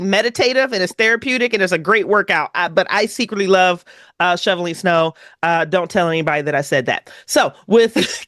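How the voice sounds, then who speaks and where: A woman's voice: mid-range voice, a bit bright, no room sound, studio quality. A woman hosting a tabletop game stream, introducing the cast in an effusive, laughing manner. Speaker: host. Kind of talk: casual chat introducing cast.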